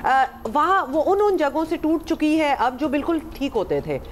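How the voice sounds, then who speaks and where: A woman's voice: high-pitched, big pitch swings, normal speed, a little hiss or hum. A woman with a sore throat, co-hosting a morning talk show in casual chat and switching into English often. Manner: chatty, mixes English into Urdu.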